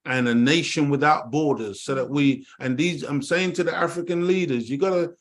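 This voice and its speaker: A man's voice: a little high, moderate pace. A middle-aged man from Jamaica, co-hosting an online talk show and preaching with emphatic repetition. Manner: preaching, emphatic, repeats words, addresses "dear family". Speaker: co-host. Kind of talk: impassioned talk-show monologue. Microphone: online call.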